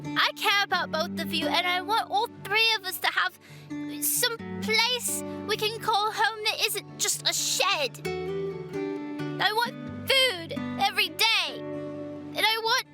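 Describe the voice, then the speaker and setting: Woman as a very high-voiced earnest girl. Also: over music. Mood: tearful, earnest. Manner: halting, flustered. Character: earnest, nervous but stubborn young girl. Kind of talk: audio drama acting.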